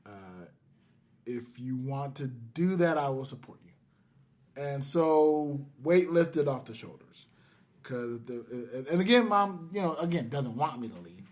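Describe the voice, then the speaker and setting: A man hosts a podcast in a casual, conversational way. His voice sits at a middle pitch for a man, and he talks as if among friends at a table. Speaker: podcast host.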